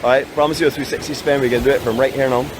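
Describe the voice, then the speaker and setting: A man's voice: medium pitch, fairly even intonation, racing. A Barbadian man hosting a live walking-tour vlog, chatting and joking about himself as he walks outdoors by a beach boardwalk, phone in hand. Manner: chatty, joking self-mockery. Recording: outdoor street noise behind.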